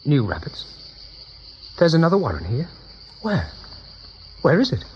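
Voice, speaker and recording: A man playing a steady, kindly young rabbit leader in a radio drama, with natural acting. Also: on the high side, unhurried. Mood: thoughtful. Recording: dull radio recording, faint noise.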